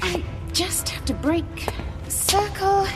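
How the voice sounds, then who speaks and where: High, fierce resurrected woman. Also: slow, loud music behind the voice. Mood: urgent. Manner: intense, dramatic. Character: resurrected woman, aggressive and defiant, newly filled with dark power. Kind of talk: audio drama acting.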